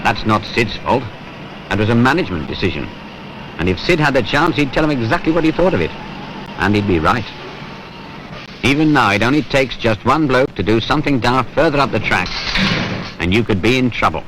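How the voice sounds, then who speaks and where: A man's voice: mid-range voice, very animated, brisk, pauses often, lightly acted. An actor playing a wry, world-weary British working man who narrates a training film in a colloquial, grumbling, sardonic way. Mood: wry, exasperated. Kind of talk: conversational scripted narration in a 1970s training film. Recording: noisy 1970s film soundtrack.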